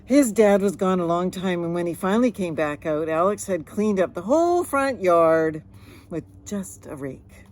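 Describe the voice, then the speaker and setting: A woman's voice: on the low side, animated, moderate pace, darkish tone. A woman reading a children's picture book aloud with chatty asides, stretching words and sound effects expressively.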